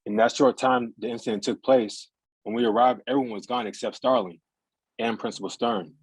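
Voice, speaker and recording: A man's voice: mid-range voice, steady tone, fairly quick, slightly rough, natural voice, poor audio. A man playing a cynical, knowing security guard, terse and insinuating in a screenplay read-through. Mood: explanatory. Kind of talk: screenplay table read acting.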